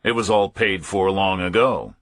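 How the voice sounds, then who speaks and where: Bright, forceful male audiobook narrator, slightly husky. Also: low voice, normal speed, dry recording, studio quality. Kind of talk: scripted first-person thriller narration.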